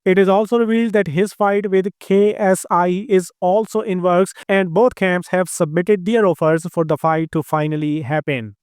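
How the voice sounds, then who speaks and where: A man with an Indian accent hosting a combat-sports news roundup, briskly reading lists of names and records. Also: high voice, a little mellow, clear, resonant tone, dry recording, clean studio sound. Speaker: host.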